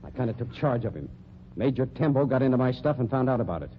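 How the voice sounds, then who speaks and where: A man's voice: medium pitch, expressive, fast talker, natural voice. An actor playing a hot-tempered, shady carnival hustler with slangy tough-guy talk, in old radio mystery style. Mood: gruff, confessing. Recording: muffled old radio recording with hum.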